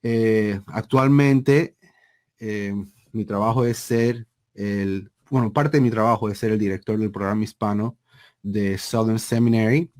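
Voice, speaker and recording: A man, explaining things conversationally as an interview guest, deliberate and emphatic, often checking with a tag question. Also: fairly low pitch, steady tone, darkish tone. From Panama. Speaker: interview guest, seminary program director.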